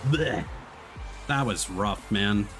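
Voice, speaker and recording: A man's voice: on the low side, lively intonation, drawn-out words. A man giving casual livestream gaming commentary, chatting as a streamer and swearing casually. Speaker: game streamer. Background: game music and racing car sounds.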